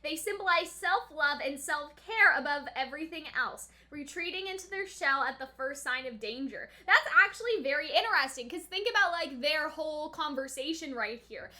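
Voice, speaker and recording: A young woman with a very high, bright voice. She talks as a casual reaction host, thinking out loud in loose, slangy phrases with a giggly streak. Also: expressive, normal speed, few pauses. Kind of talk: casual chatty reaction commentary.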